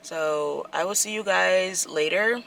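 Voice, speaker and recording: A chatty young woman from the Washington DC area vlogs from her parked car, talking loosely and poking fun at herself. Her voice is bright and forward, with a gravelly fry in it. A faint hiss sits under the recording. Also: lowish voice, takes it slowly, low-quality recording. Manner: chatty, rambling, self-mocking. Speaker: vlogger. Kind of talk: casual talking-to-camera vlog. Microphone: camera mic on a vlog camera.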